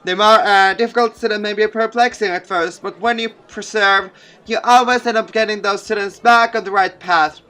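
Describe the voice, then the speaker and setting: A man's voice: sits high, fairly even intonation, brisk, sharp tone, smooth, voice pushed up. A man voicing an earnest, idealistic woman teacher in a visual novel, preachy in manner, with music behind.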